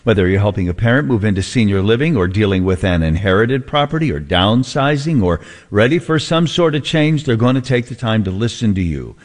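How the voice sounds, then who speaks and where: A male American radio host with a fairly low voice talks conversationally to listeners. He tells things like an unhurried storyteller, stretching phrases to build suspense. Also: expressive. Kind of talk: conversational radio talk, reading listener messages.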